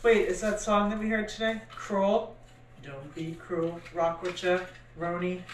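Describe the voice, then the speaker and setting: A woman, a vlog host, chatting casually and reading titles out loud. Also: on the low side, level tone, unhurried. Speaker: host, vintage reseller. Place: cluttered room indoors at home. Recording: echoey room, distant mic, faint noise.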